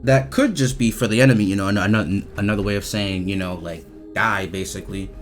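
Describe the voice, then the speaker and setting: A man giving casual, off-the-cuff commentary as he reviews game voice lines, talking in a halting, repetitive, slangy way with some swearing. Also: on the low side, fairly even intonation, faint music behind.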